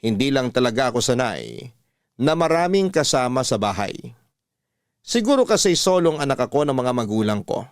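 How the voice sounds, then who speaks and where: A male radio narrator reads a listener's love-story letter in a steady, measured voice. His pitch sits in the middle range, and the recording is close and dry, with no room sound. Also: no room sound.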